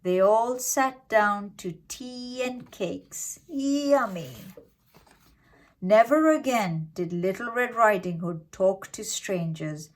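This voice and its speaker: A woman reading a scripted fairytale for children in an expressive, dramatic, deliberate way. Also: medium pitch. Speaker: children's storyteller.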